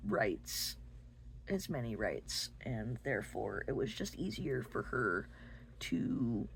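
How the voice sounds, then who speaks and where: A husky voice with plenty of fry, belonging to a woman who takes her time. She talks about books as a host, unscripted and halting, often pausing partway through a phrase. The pitch moves around a good deal even though the delivery is very slow. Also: a little hiss or hum. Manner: halting, gentle pauses mid-phrase. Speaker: book review host. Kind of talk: unscripted book talk to listeners.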